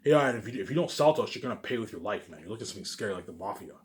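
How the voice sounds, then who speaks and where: A man talking casually in a rambling, repetitive monologue, swearing often and swinging between loud emphatic bursts and quieter asides. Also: on the low side, lively intonation, racing. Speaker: vlogger.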